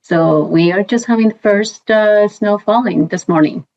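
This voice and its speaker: A woman's voice: mid-range voice, normal speed, a little mellow. A woman from Colombia with a Colombian accent, chatting as a guest in an interview, with many fillers and restarts. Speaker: guest, compliance professional. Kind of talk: casual interview. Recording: dull lo-fi sound.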